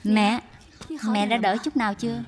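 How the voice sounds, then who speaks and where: A woman voicing a gentle, dutiful young daughter-in-law in a cartoon dub, soft, earnest and deferential. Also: medium pitch, little pitch movement, moderate pace, lightly acted. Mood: humble, concerned.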